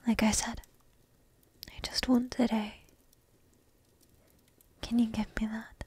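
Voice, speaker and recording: Woman acting a breathy, coy young dragon princess. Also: fairly high pitch, little pitch movement, darkish tone, heavily acted. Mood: pleading, wistful. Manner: breathy half-whispered, slow, coy delivery. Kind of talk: ASMR roleplay, soft and intimate.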